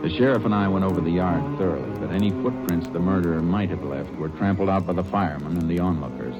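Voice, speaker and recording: Actor as a deep-voiced methodical lawman. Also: quick pace, over loud music, close to an everyday voice. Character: calm methodical male lawman detective. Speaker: Texas Ranger investigator. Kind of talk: 1950s radio drama acting and narration. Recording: muffled hissy old radio recording.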